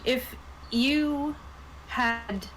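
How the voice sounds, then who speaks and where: A woman's voice: high voice, very slow, fairly bright tone, slight background noise, low-quality recording. A woman co-hosting a casual roundtable review in an informal, playful manner. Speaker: host and reviewer.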